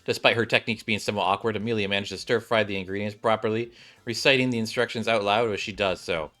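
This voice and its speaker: A man's voice: on the low side, fairly even intonation, quick pace, bright, forceful tone, soft background music. A man streaming a game, reading its story aloud and adding casual commentary. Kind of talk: narration and casual playthrough commentary.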